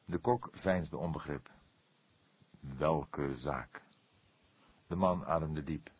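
A man narrates a literary detective audiobook. His voice is low and husky, recorded dry with hardly any room sound. The delivery is scripted storytelling. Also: fairly even intonation, normal speed, no echo.

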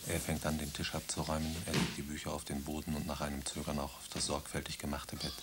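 Very deep-voiced male narrator. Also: fast, heavy background noise. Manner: calm, matter-of-fact. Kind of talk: reading stage directions in a radio drama.

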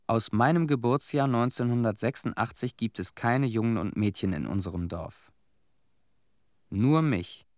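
An actor in a radio drama playing a somber young Chernobyl survivor who recalls childhood in measured, plain sentences. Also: male voice, mid-range voice, dry recording, studio quality, lightly acted.

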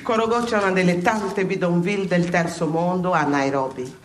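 An actress playing an impassioned heavenly examiner, lecturing souls with emphatic, theatrical delivery and dramatic pauses in a radio play. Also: female voice, fairly low pitch, fairly even intonation, a little hiss or hum, lightly acted. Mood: grave, narrating.

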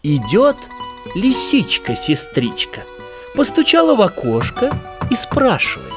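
Slow, animated male fairy-tale storyteller. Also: high-pitched. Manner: theatrical, measured storytelling. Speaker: storyteller narrator. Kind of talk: expressive children's fairy-tale narration. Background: children's music.

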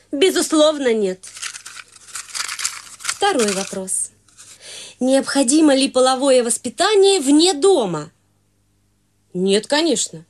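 A domineering society mother in a radio drama, high-pitched and swooping, drawing out her words slowly. She talks down to everyone, and faint music sits behind her. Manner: brisk, condescending, overbearing. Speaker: actress in a radio play.

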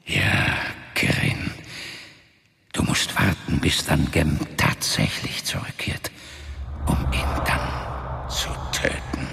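Ghostly warrior father and mentor: very low, creaky, slow and commanding, music underneath. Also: expressive, sharp tone, exaggerated voice. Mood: grave. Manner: measured, commanding. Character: dead father speaking from a book, stern ancient warrior mentor. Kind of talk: German fantasy radio drama acting.

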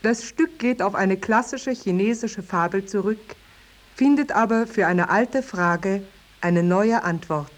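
A woman announcer reading a scripted 1950s German radio introduction in a formal, deliberate way. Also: mid-range voice.

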